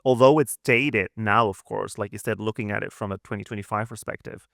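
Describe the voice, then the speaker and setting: A man's voice: lowish voice, fairly even intonation, fairly quick, vocal fry, a bit bright, no room sound, studio quality. A man co-hosting a podcast, giving his views in casual conversation. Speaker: podcast co-host. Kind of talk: casual opinionated chat.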